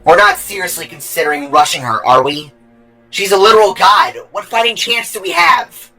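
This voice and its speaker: An alarmed, skeptical heroine from a fantasy audio drama voiced by a man. The voice is high, tense and creaky, full of anxious disbelief, over soft music. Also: expressive, normal speed, full character voice. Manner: incredulous, anxious. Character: alarmed, skeptical female Spark.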